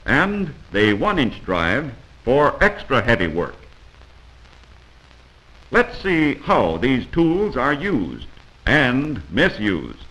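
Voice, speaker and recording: A man's voice: medium pitch, bright, forceful tone, rough recording. An American man narrating a scripted 1940s instructional film in a didactic, admonishing manner, heard on an old film soundtrack.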